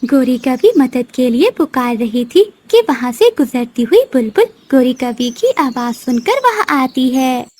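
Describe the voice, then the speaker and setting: A woman narrator with a very high voice reads a children's story. Her pitch moves in a lively, animated way through the scripted telling. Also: measured pace, hardly any pauses.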